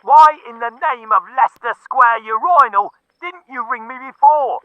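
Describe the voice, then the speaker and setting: A man's voice: very high voice, unhurried, no echo, pitched above the performer's own voice. A man voicing a foul-mouthed, furious police superior who blusters and bellows down a telephone line in an audiobook. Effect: telephone filter. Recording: thin band-limited telephone sound.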